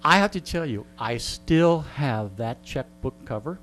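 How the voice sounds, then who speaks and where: A man's voice: medium pitch, expressive, a little slow, a bit bright, faint music behind. A middle-aged or older man telling casual anecdotes in a wry, joking, digressive way. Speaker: interview guest and storyteller. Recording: clear background mains hum.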